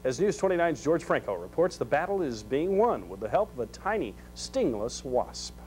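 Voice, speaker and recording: A man's voice: a little high, very animated, normal speed, background noise. An American man anchoring a scripted local television newscast. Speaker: news anchor.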